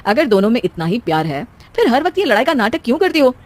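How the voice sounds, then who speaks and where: A woman's voice: a little high, big pitch swings, quick pace. A woman doing an exasperated young husband. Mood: lecturing. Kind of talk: cartoon voice acting.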